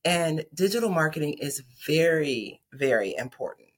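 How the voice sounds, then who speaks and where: A woman hosts a casual podcast interview in a lowish voice with a touch of huskiness. She keeps the talk moving and backs her guest up with frequent affirmations. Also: expressive, moderate pace, breathy edge. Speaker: podcast host.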